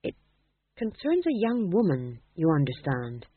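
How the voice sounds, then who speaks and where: A man's voice: a little high, huge pitch swings, a little slow, breathy edge, no room sound, narrow, tinny audio, heavily acted. A man voicing a courteous, fussy and easily embarrassed king in an audiobook, with a hesitant, hurt manner. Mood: pleading, delicate.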